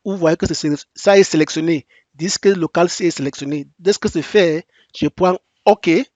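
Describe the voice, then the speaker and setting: Brief: male presenter for a software tutorial, native English speaker working in French, fairly high voice, dry close sound, repeats each step and restarts his sentences. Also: no echo, muffled recording. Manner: step-by-step, repetitive instructions; halting non-native French with frequent restarts. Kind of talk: screen-recorded software tutorial.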